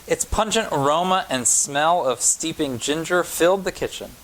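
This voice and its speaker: A man's voice: a little high, expressive, normal speed, fairly bright tone, poor audio. Man reading a picture book aloud. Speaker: pastor reading a children's story. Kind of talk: storytime read-aloud of a picture book to children.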